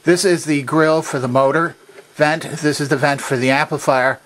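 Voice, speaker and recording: A man's voice: fairly high pitch, steady tone, normal speed, fairly bright tone, a little hiss or hum. A man giving an instructional demonstration in a methodical, precise, explanatory way. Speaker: demonstrator of a vintage machine. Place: indoor workshop at a workbench.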